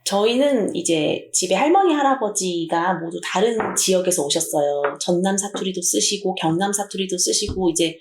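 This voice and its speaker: A woman teacher from Jeju with a Jeju Korean accent, telling an anecdote in a chatty, animated way. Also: lowish voice, normal speed, hardly any pauses, reverberant.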